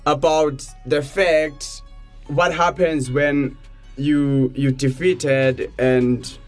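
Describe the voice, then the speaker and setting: A man's voice: on the high side, fairly even intonation, extremely slow, soft background music. A young South African man co-hosting a faith radio show, chatting and teasing his partner before bringing up the topic. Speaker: radio co-host. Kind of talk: casual radio chat.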